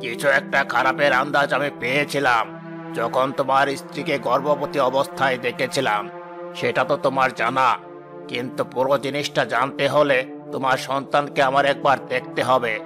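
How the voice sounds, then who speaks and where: A man playing a grave, solemn holy sage in a fairy tale cartoon, speaking slowly. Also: medium pitch, flat delivery, over music, lightly acted. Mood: grave, foreboding. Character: grave holy sage giving ominous prophecy to a king. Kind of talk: fairy tale animation voice acting.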